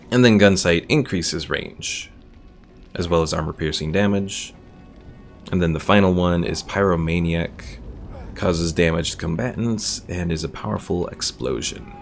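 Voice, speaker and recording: A man streams a game in a low, gravelly voice. He talks casually, thinking through choices aloud and reading text off the game, with faint music behind him.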